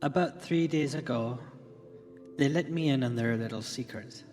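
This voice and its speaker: A man playing an earnest tech company leader giving a solemn, measured keynote in a radio drama, pausing deliberately between phrases. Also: mid-range voice, darkish tone, close to an everyday voice. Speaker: keynote speaker. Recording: long hall reverb with music behind. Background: music.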